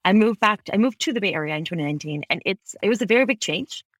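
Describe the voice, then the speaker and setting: A woman's voice: medium pitch, animated, dry recording, studio quality. A woman in her thirties from Ecuador, a podcast guest talking articulately about her career, often correcting herself mid-sentence. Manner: fast, articulate, frequent self-corrections. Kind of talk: conversational interview. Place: home office, Bay Area.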